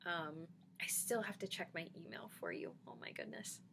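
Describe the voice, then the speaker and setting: A woman's voice: fairly low pitch, wildly swooping pitch, normal speed, background noise. A woman vlogging casually about dentures, reassuring her viewers with frequent ums and a slight lisp. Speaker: denture vlogger answering comments. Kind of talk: casual vlog chat.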